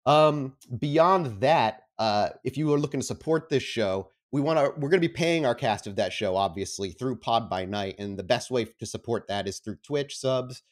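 A man's voice: medium pitch, moderate pace, few pauses. A man speaking as host and game master of a tabletop roleplaying show, with an informal, enthusiastic manner. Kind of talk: casual announcements, then narrating a tabletop game.

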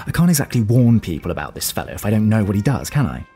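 A man's voice: on the low side, very animated, quick pace, dark tone, soft background music. An actor playing a fussy, suspicious, self-satisfied young businessman in an audio drama, clipped, emphatic and repeating himself.

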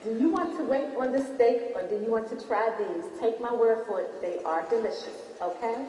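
A woman's voice: a little high, a little fast, mellow, natural voice. An actress playing a devout, patient woman who speaks instructively in a stage play. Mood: coaxing. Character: patient, devout woman gently teaching spiritual lessons. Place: large theater stage. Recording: echoey hall, distant mic, faint noise.

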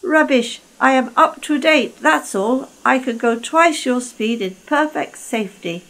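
A woman's voice: high-pitched, measured pace, a bit bright, pitched above the performer's own voice, rough recording. A woman voicing a boastful, reckless male steam engine with a scornful, bragging manner.

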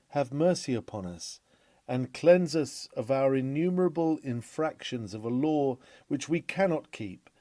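A man reading a classic sermon from a script in a solemn, measured, oratorical manner with emphatic phrasing. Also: medium pitch, warm tone, dry recording.

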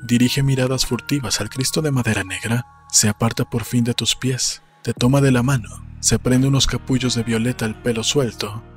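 A man narrates a novel in a measured, solemn way. His voice has a middling pitch and is close on the mic, with music underneath. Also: steady tone. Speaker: audiobook narrator. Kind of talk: scripted literary narration. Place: small room indoors. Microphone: desk microphone close to the mouth, headphones. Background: soft music.

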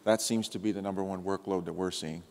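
Structured man with a low voice. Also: steady tone, fast, a little mellow. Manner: structured, lists points in order. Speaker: panelist, IT firm executive. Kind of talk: conference panel answers. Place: large conference hall stage. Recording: long hall reverb.

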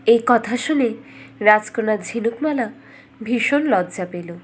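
A woman's voice: a little high, animated, a little slow, slightly rough. A woman reading scripted fairytale narration for a cartoon as a storyteller.

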